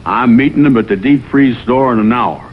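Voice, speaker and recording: A man voicing a plain-spoken, slow-witted bear sidekick in a 1960s cartoon sitcom. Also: medium pitch, slight background noise, close to an everyday voice. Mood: earnest. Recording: dull vintage TV audio with music.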